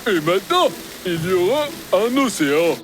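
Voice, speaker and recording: A man's voice: high-pitched, sing-song, normal speed, fairly bright tone, a little hiss or hum. A man playing a naive, strong young dinosaur sidekick, kind but questioning and a little hesitant, in a children's cartoon dub. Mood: worried. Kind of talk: children's animated series dub.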